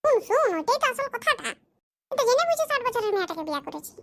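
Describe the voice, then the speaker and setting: A performer voicing a boastful, scheming village man in a cartoon, with a smug, slow, explaining manner. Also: very high-pitched, sing-song, vocal fry, fairly bright tone, full character voice. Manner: smug, bragging, explains his schemes slowly. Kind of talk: cartoon comedy voice acting.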